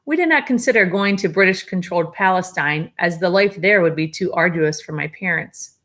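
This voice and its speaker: A woman teacher reading a memoir aloud to her students, at a steady pace with small slips she corrects, and now and then a casual aside to the class. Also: on the low side, brisk.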